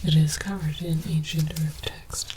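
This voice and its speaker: A woman playing a scholarly alien archaeologist in a whispered, deliberate ASMR lecture, fascinated by human artifacts. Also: low-pitched, flat delivery. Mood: hushed scholarly fascination. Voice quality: breathy whisper. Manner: slow, deliberate, mock-scholarly. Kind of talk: ASMR roleplay, whispered mock-documentary lecture. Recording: clear background noise with clicks.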